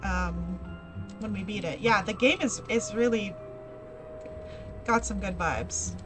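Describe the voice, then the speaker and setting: A woman's voice: mid-range voice, slow, music in the background. A woman streaming herself playing a game, chatting casually with viewers, her voice strained and sore. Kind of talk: casual live gameplay commentary.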